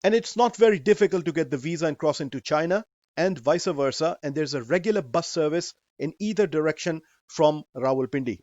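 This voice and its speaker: A man in his forties with a Pakistani accent, presenting a scripted talk in an earnest, measured way. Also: on the high side, animated, darkish tone, dry recording. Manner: earnest, measured, with Arabic phrases. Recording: dry, slightly dull recording.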